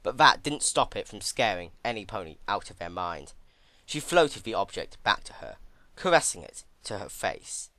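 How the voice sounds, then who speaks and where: A man's voice: fairly low pitch, sing-song, moderate pace, bright, forceful tone, dry recording. A man reading fan fiction aloud as a narrator, in a dramatic scripted style.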